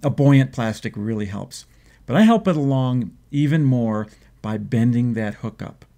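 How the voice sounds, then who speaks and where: Man, 50s, fishing host, measured explanatory delivery, filler ums, mid pitch, indoor desk setup. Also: expressive, dark tone. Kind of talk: instructional fishing talk. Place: indoor home office.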